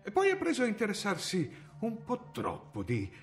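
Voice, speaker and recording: An actor playing a timid, pious, learned medieval friar in a radio drama, speaking in a halting, deferential way. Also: male voice, sits high, wildly swooping pitch, soft background music. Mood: hesitant, reflective.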